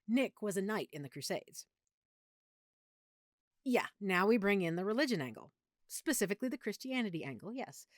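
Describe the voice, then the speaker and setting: Casting: actress as affectionate girlfriend with a vampire secret, intimate audio roleplay. Lively, swooping intonation, medium pitch, very dry close sound. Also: no echo, close to an everyday voice. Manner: chatty, playful, geeky explaining.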